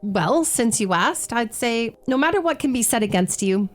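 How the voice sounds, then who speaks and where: Woman playing a high-voiced, plucky shop girl. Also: lively intonation, moderate pace, faint music behind, close to an everyday voice. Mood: coaxing. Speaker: actor. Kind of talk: radio theatre acting.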